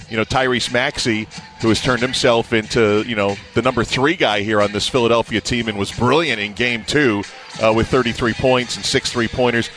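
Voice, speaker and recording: A male sports announcer talks through his analysis as a radio guest. His voice sits at a middle pitch, and he speaks in a loose, conversational way. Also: a bit bright.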